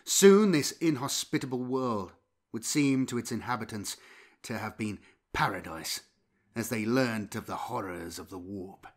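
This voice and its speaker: A man's voice: lowish voice, animated, unhurried. A man reading dramatic scripted fiction as an audiobook narrator. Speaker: audiobook narrator and channel host.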